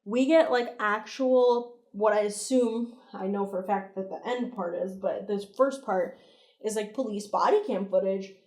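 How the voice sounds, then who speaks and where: A woman's voice: medium pitch, a little slow, a little mellow, echoey room. A woman hosting a video review, talking in a conversational, opinionated and emphatic way with casual swearing. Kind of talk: casual commentary, breakdown analysis.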